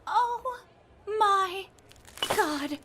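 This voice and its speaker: A woman playing an excitable, dramatic teenage fangirl who gushes playfully, in an audio drama. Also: very high-pitched, very slow, a little hiss or hum, exaggerated voice. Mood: starstruck.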